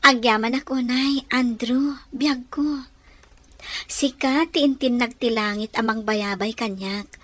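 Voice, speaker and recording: A woman's voice: a little high, takes it slowly. A woman playing a sweet, grateful young student in love, tender and girlish, in an Ilocano radio drama.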